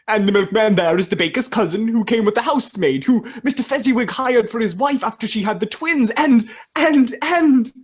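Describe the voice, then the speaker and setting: A woman playing an earnest, wistful man with a husky voice in an amateur radio play. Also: on the high side. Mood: excited.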